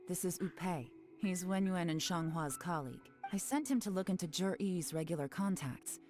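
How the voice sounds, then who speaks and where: Woman playing a secretive female spy, low voice, calm and measured, game dialogue, faint music behind. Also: natural voice. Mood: composed. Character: cool, secretive woman intelligence agent. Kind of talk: video game voice acting.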